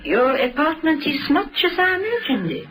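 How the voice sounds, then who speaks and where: A woman playing a poised, seductive banker's wife in a radio drama, coolly formal and insinuating, with a husky voice. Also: sits high, big pitch swings, normal speed, heavy background noise. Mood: poised, coy.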